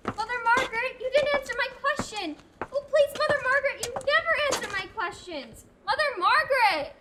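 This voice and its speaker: A teenage actor playing a curious, earnest young novice in a student radio play. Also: female voice, very high voice, expressive, fairly quick, heavy background noise. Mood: pleading. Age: teens. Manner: earnest and pleading.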